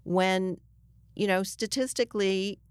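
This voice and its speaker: An older woman, a journalist being interviewed, giving her opinions in a halting but emphatic way. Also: medium pitch, smooth, dry recording, studio quality.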